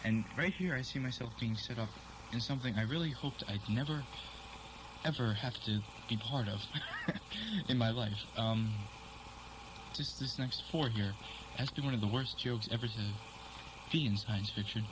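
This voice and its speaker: A man of middling pitch gives a wry personal commentary. The recording carries clear noise behind his voice. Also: moderate pace.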